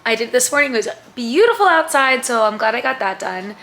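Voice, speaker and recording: Bright-voiced chatty young woman vlogger. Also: a little high, animated, fairly quick. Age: 20s. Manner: chatty, filler-heavy with frequent "like" and "um"; upbeat and friendly. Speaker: lifestyle vlogger. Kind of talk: casual vlog chat to camera.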